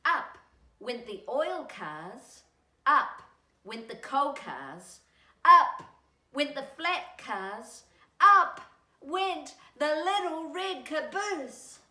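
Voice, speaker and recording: A woman hosting storytime, speaking in an animated, singsong way to young children as she reads a picture book. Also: high-pitched, drawn-out words, echoey room. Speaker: storytime host and children's author.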